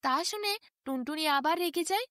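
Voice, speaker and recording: Female children's storyteller, high and very animated, expressive bedtime-tale delivery. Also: normal speed, dry recording, clean studio sound.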